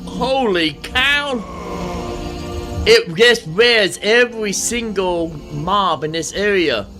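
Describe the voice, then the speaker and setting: A man's voice: high-pitched, animated, slow pace. A man hosting a gaming video with casual commentary, thinking aloud and repeating himself. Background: game music.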